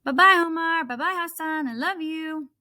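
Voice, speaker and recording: A woman voicing an excited, chatty and sweet preschool-age girl in a storybook read-aloud. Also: very high-pitched, moderate pace, pitched above the performer's own voice, exaggerated voice. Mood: sweet, affectionate.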